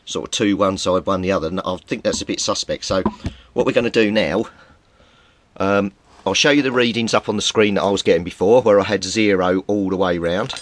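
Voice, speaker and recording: Deep-voiced man muttering over a repair. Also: a little fast, a little hiss or hum. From Britain. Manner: muttering, thinking aloud while tinkering. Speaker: radio repair hobbyist. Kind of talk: casual repair commentary.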